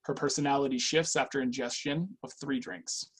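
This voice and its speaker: A man acting a composed, probing assessor, slightly husky. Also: mid-range voice, fairly even intonation, moderate pace, close to an everyday voice. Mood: clinical. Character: composed male personal assessor, businesslike and probing. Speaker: actor in a screenwriters' readers theatre. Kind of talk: staged script reading.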